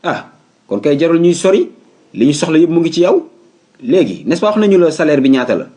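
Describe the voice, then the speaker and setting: A man's voice: fairly high pitch, very animated, brisk, warm tone. A man playing a breezy, matter-of-fact employer with a Senegalese accent in a comedy cartoon. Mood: businesslike. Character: breezy male employer hiring a night watchman.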